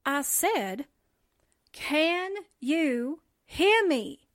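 A woman's voice: high voice, big pitch swings, measured pace, no room sound, clean studio sound, exaggerated voice. A woman playing a chatty, dramatic young newspaper assistant in a radio drama, with a folksy, gossipy way of telling stories. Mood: chatty, insistent. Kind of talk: radio drama comedy acting.

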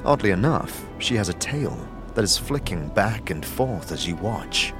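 Male narrator, very low voice, measured second-person storytelling over prominent background music. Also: sing-song. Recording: clear music bed under narration.

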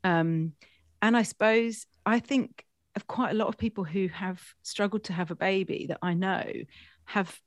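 A woman's voice: medium pitch, fairly even intonation, moderate pace. Middle aged woman interviewer, casual chat, feels her way through sentences, no room echo at all. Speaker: interview host.

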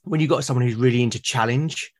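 A British man speaking as a podcast guest in casual conversation, haltingly and often repeating words as he thinks aloud. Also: medium pitch. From Britain. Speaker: podcast guest, solo roleplaying gamer.

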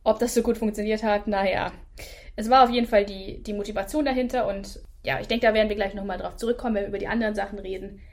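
A woman in a relaxed podcast discussion, giving firm opinions about a series. She pauses on fillers often and keeps a middling pitch. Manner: lively opinions with frequent fillers. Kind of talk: casual review chat.

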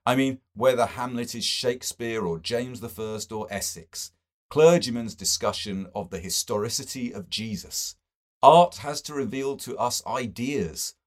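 A man's voice: mid-range voice, voice pushed up, lightly acted. A man reading a novel and voicing a solemn mystic poet who makes lofty, earnest pronouncements.

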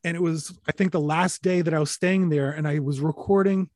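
A man being interviewed, telling stories in a relaxed, rambling way with many fillers, restarts and casual swearing. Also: a little high, a little fast, no room sound. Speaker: interview guest, recording artist. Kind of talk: relaxed conversational storytelling.

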